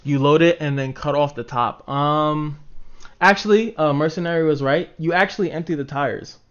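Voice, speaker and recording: Man, slightly high voice, casual livestream host, rambling, informal, swears freely, muffled lo-fi microphone. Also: moderate pace, fairly bright tone, smooth. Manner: informal, swearing, acts out imagined reactions. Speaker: livestream host talking to chat viewers. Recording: dull, lo-fi microphone sound.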